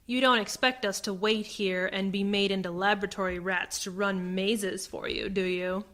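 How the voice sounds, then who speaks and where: Lady playing a distrustful rebel woman in a sci-fi radio play, mid pitch, sharp bright tone, crisp and cutting. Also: fairly even intonation, moderate pace, lightly acted. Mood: suspicious, cutting. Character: suspicious, sharp-tongued female fugitive with empathic powers. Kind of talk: radio science fiction drama acting.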